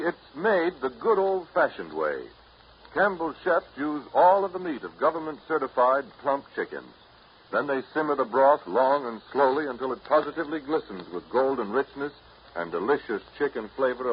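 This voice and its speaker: A man announcing on an old radio show in the polished sales style of the 1930s. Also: mid-range voice. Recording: muffled, noisy 1930s radio broadcast.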